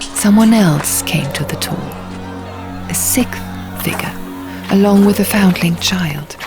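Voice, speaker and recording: A woman voicing an earnest young man who recounts dark ancient lore in an audiobook, measured and theatrical, pausing for effect. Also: medium pitch, natural voice. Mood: solemn storytelling. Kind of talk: audiobook character dialogue. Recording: music bed under the reading.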